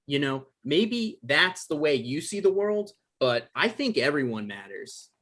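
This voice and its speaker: A man's voice: on the high side, sing-song, measured pace, a bit bright, exaggerated voice. A man playing an evasive, defensive guy in a table read, stammering and hedging in an awkward conversational way. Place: online live stream table read.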